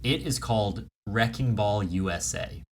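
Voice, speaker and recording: A man's voice: on the low side, unhurried, slight background noise. A man hosting a comedy podcast, riffing casually in improvised banter. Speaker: podcast host.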